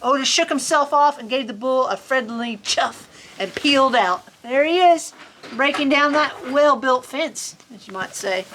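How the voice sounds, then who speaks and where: A woman reading a picture book aloud to children in an expressive, folksy, chatty storytime manner. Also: sits high, measured pace, slight background noise. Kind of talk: animated children's story reading with casual asides. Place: on a farm among sheep.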